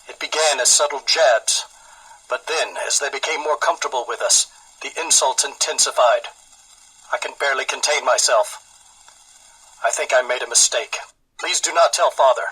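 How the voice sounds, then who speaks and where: An actor playing an earnest, wounded young immigrant son confiding in his mother in a measured game audio log. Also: medium pitch, bright, forceful tone, slightly rough, poor audio. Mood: hurt, frustrated, then wistful.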